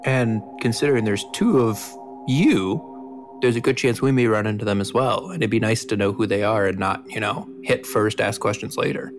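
A man at a tabletop game who talks through his reasoning deliberately. His voice sits a little low. Music plays behind him. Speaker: player. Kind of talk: casual game chat.

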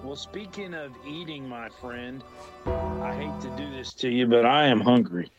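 A man's voice: medium pitch, measured pace, over music. A man co-hosting a podcast over a remote call, laid-back and jokey in casual chat. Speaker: podcast co-host. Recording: dull lo-fi call audio.

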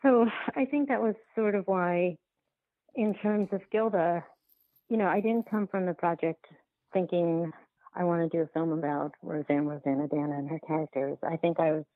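A woman answering interview questions as a filmmaker, in a halting, conversational way with repeated words. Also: fairly low pitch, dry recording, phone-quality sound. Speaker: documentary filmmaker, podcast guest.

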